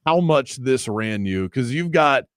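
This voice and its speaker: A man's voice: medium pitch, expressive, a little slow, clear, resonant tone, dry recording. A man hosting a sports radio show from the studio, chatting casually and teasing his producer. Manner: chatty, teasing, thinks aloud. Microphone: studio mic.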